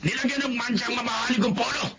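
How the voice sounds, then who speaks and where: An actor with a Filipino accent playing a cruel, hot-tempered, greedy uncle in a radio drama, blustering and mocking. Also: male voice, extremely high pitch, steady tone, very fast, very noisy. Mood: furious.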